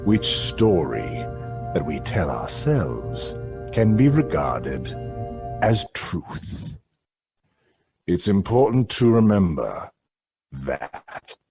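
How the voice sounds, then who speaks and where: A man's voice: low-pitched, very animated, very slow, creaky, long pauses, darkish tone, breathy edge, soft background music, exaggerated voice. An actor playing an eccentric, brooding male radio host, delivering theatrical scripted monologues in a portentous, philosophical manner.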